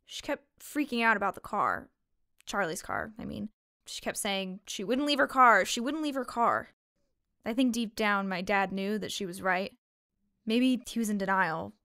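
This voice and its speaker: Young small-town waitress, weary and defensive, halting matter-of-fact talk, audio drama, close dry sound. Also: female voice, medium pitch, expressive, sharp tone, no echo. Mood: subdued, explaining. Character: guarded young waitress grieving her missing sister, weary. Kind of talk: fiction podcast drama acting.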